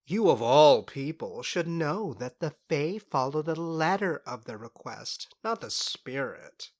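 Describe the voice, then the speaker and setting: A woman's voice: low-pitched, big pitch swings, measured pace, darkish tone, exaggerated voice. A woman playing a mischievous, sly fairy trickster in a modern audio drama, teasing and theatrical, savouring words with smug unrepentance. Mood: smug, defensive.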